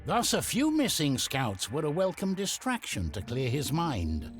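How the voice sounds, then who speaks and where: Fantasy game storyteller: grave and literary, very wide expressive pitch movement over music. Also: male voice, unhurried. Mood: solemn, reflective. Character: solemn storybook narrator of a fantasy saga. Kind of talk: scripted game narration.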